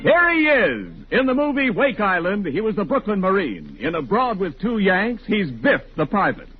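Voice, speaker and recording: A man's voice: sits high, very animated, moderate pace, narrow, tinny audio, over music. A male announcer presenting a show in scripted 1940s radio style. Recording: thin, band-limited 1940s radio recording.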